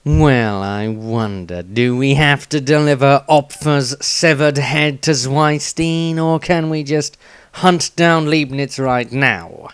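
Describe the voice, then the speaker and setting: A man's voice: medium pitch, slow, clear, resonant tone, dry recording, lightly acted. A man doing a cold, sneering warrior. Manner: sneering, curt. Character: cold, hostile male warrior, clinical and sneering.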